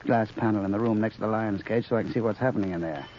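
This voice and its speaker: An actor playing a ruthless, gloating crime boss in a 1930s radio drama, commanding and contemptuous. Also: male voice, fairly low pitch, racing, natural voice. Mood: curt, scheming. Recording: muffled, noisy 1930s radio recording.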